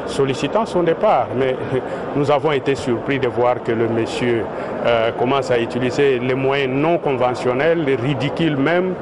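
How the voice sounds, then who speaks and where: A man speaking as a party official in a street interview, in a measured way with frequent hesitations. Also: medium pitch. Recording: loud traffic noise outdoors.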